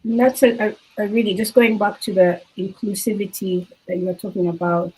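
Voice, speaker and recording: A woman's voice: mid-range voice, fairly even intonation, fairly quick, darkish tone, husky, low-quality recording. A woman moderating a panel discussion, asking loose, casual questions full of hedges and fillers.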